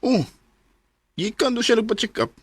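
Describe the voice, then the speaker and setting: A man's voice: very high voice, moderate pace, slightly rough, no room sound. An actor playing a frank, teasing young male friend in a radio drama, with a Cebuano Filipino accent. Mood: concerned, informative.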